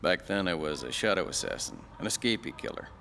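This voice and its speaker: Male game role: sardonic killer-for-hire pilot, low and gravelly, swinging delivery, some background noise. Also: expressive, quick pace, pushed and bright, exaggerated voice. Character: cynical fugitive ex-air force assassin pilot. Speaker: former fighter pilot interviewed. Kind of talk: dramatic documentary-style interview acting.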